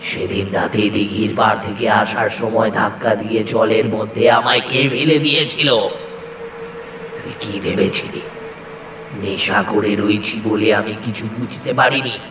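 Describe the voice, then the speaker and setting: A man's voice: on the low side, fairly even intonation, natural voice. Man as echoing, vengeful male ghost. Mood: accusing, vengeful. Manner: slow, menacing, accusing. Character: vengeful ghost of murdered drunkard, menacing. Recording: heavy echo with loud music bed.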